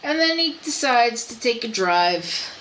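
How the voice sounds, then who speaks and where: Hoarse-ish woman retelling a movie. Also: on the high side, sing-song, measured pace, pushed and bright, slight background noise. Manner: retells scenes in detail. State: losing her voice. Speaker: podcast co-host. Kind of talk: casual movie review chat.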